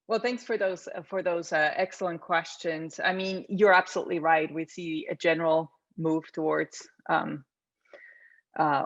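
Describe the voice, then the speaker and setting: A woman from Switzerland answers a panel question over a video call, her voice set low. She hedges and circles with fillers and "right?" as she builds her point. The line is dry and dull, like compressed online call audio. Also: dry recording. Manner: hesitant, heavy use of fillers and tag questions.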